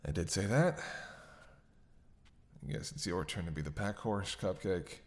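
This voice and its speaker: Slow, low-voiced sardonic vampire man, acted out. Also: very animated, heavily acted. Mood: sardonic, helpless. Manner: sardonic, halting, breathy sighs between phrases. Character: starving captive male vampire, sardonic, teasing, menacing. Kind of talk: roleplay audio drama monologue.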